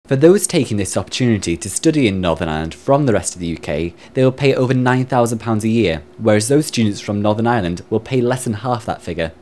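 A man's voice: lowish voice, expressive, fast talker, hardly any pauses, darkish tone. A young man reporting a television news story, reading a scripted piece to camera outdoors on a university campus. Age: young adult. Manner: crisp formal delivery.

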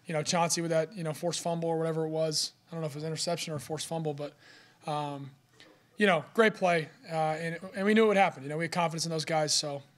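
Young man, fast, flat delivery, postgame podium, filler-heavy. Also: fairly high pitch. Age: young adult. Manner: steady, fast, filler-heavy with "you know". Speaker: college quarterback. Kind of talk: postgame press conference answers. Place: press room in front of a sponsor backdrop. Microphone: podium mic.

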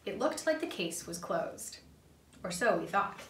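A young woman reads a children's book aloud with very lively intonation and a bright tone. She is some distance from the microphone in a small, echoey room. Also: medium pitch, measured pace, a little hiss or hum. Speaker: storyteller. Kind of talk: children's storybook read-aloud. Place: small indoor playroom. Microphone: camera a few feet away. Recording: roomy, distant camera microphone.

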